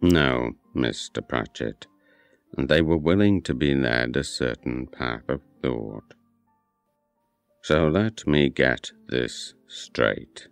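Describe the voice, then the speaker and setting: An actor playing a measured, probing doctor in an audio horror drama, slow, formal and gravelly. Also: male voice, rumbling low, dry recording, soft background music, exaggerated voice. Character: short round bearded doctor, measured, probing mind-control expert.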